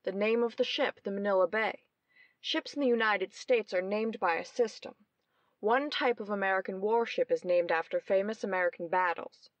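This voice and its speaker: A woman's voice: mid-range voice, moderate pace, no room sound, muffled recording, lightly acted. An actress playing a brilliant young woman detective, explaining her deductions precisely in old-time radio mystery style. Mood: confident.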